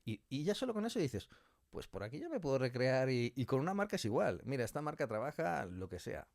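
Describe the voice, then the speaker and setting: A man's voice: mid-range voice, very animated, a little fast, creaky, a little mellow, dry recording, clean studio sound. A middle-aged man with an accent from Spain, answering reflectively in a conversational interview, thinking aloud through examples. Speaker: interviewed audio fiction writer and director.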